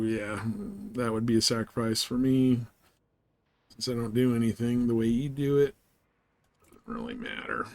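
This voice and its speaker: Casting: male game streamer, mid-pitched voice, easygoing and halting, casual chat with viewers, very dry sound. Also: no room sound. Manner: easygoing, halting, thinking aloud. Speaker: game livestream host. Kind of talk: casual livestream chat while gaming, answering viewers.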